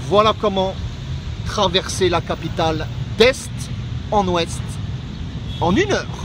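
This is a man talking casually as he films himself on a phone out in the city. His voice sits high for a man. Very loud traffic and street noise surrounds him. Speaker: travel vlogger. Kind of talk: casual vlog chat to camera. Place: outdoors in city streets and vehicles. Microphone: phone at arm's length.

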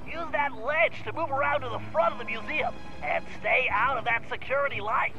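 High-pitched nerdy turtle hacker. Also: male voice, brisk, bright, forceful tone, highs cut off, exaggerated voice. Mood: fussy, smug. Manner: fussy, precise, self-satisfied. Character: nerdy, brainy young male turtle hacker. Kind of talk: animated video game voice acting. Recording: game music behind the voice.

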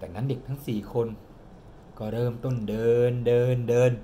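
A man's voice: medium pitch, muffled recording, a little hiss or hum. Man spinning a spooky tale at home. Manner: animated, dramatic storyteller who slows down for suspense. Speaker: father telling a bedtime-style ghost story to his daughter. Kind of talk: improvised storytelling. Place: home living room, indoors. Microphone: camera on the table in front, close.

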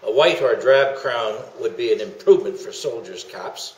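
An older man voicing a formal 19th-century British army officer in a measured dramatic memoir reading. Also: medium pitch, expressive, close to an everyday voice. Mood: formal, reflective. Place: indoor meeting room. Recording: echoey room.

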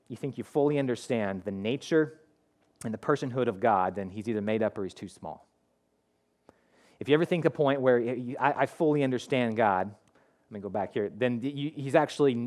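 Man, thirties, pastor, conversational sermon, animated, frequent restarts, headset mic, school hall. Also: mid-range voice. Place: school hall with curtained stage.

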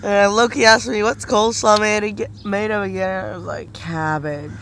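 A man's voice: high-pitched, steady tone, normal speed. A young American man chatting casually and sarcastically, recounting arguments and mockingly quoting other people. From United States. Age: young adult. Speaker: vlogger. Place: outdoors by a fence near a drive-through. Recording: outdoor street noise behind.

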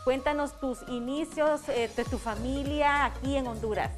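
A woman's voice: high voice, steady tone, moderate pace, faint music behind. A woman hosting a morning TV show, asking interview questions with upbeat enthusiasm. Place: TV studio.

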